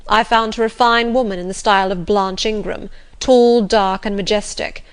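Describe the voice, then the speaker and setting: A woman reader takes on a brooding master of the house in a classic novel. The gentleman speaks with passion and urgency. His manner is imperious and domineering. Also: mid-range voice, fairly even intonation, moderate pace, clean tone, natural voice. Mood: bitter, impassioned. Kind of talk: audiobook character reading of classic novel.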